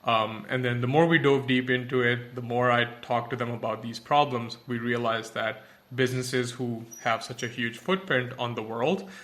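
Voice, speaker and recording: A man's voice: medium pitch, fairly even intonation, moderate pace, fairly bright tone. A young man from Pakistan, a tech company founder, answering podcast interview questions thoughtfully with many fillers and restarts.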